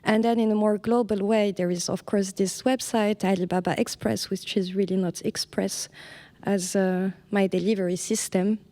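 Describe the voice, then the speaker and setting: A woman artist from Morocco talks about her project in a museum panel discussion. Her speech is halting, with frequent restarts as she searches for words, at an ordinary middle pitch. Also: fairly even intonation, dark tone. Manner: hesitant, searching for words, laughs lightly. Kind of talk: panel talk.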